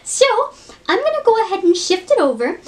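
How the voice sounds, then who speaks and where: A woman's voice: very high voice, very animated, brisk. A woman chatting casually to viewers as the host of an impressions video.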